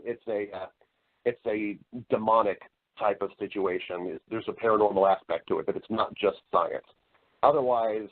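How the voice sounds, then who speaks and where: A middle-aged American man telling personal stories as an interview guest, speaking earnestly and emphatically, often repeating phrases as he goes. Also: medium pitch. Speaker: podcast guest, voice-over artist and storyteller. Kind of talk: conversational interview storytelling. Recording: narrow-band, radio-like call audio.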